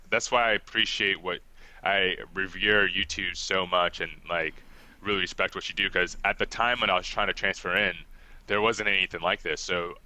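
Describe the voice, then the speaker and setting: A young man who grew up in Ghana, talking casually as a podcast guest, relaxed and chatty and quick to laugh. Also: deep voice, rough recording. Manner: relaxed and chatty, laughs often, many "like" fillers. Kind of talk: casual interview talk.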